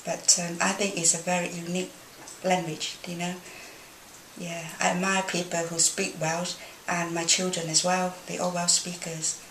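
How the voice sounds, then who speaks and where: A middle-aged woman speaks in a measured, even voice, often filling her sentences with "you know." Her pitch is slightly low and stays flat. The room rings with echo. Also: a little hiss or hum. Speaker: interviewee. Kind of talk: thoughtful casual opinion.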